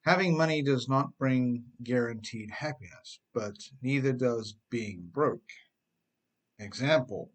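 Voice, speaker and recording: A man's voice: medium pitch, a bit bright, husky. A man narrating his own self-help book in a wry, conversational way, often putting rhetorical questions straight to the listener. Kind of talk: audiobook narration with conversational asides.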